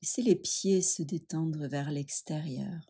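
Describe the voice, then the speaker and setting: A woman leading a guided yoga nidra meditation, speaking gently and soothingly with long pauses. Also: low voice, husky.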